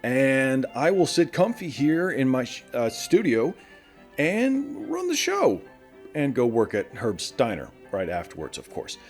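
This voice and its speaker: A man plays a folksy morning radio host broadcasting from a small town in the wasteland. He chats straight to his listeners with a lively, swooping delivery, over faint music. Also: mid-range voice, unhurried. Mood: wry, flustered. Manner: conversational, addresses listeners directly. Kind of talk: scripted radio show monologue, folksy.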